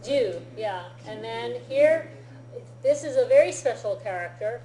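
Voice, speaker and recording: A woman teaching a Japanese lesson, explaining patiently in an unhurried, easygoing way. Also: fairly high pitch, animated, crowd noise. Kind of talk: relaxed classroom-style lesson. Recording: echoey room.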